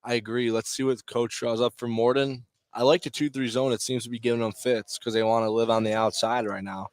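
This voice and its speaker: A man doing live play-by-play basketball commentary in clipped phrases, with excitable calls on big plays. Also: mid-range voice, fairly quick, dry recording. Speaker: play-by-play sports announcer. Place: courtside at a basketball game.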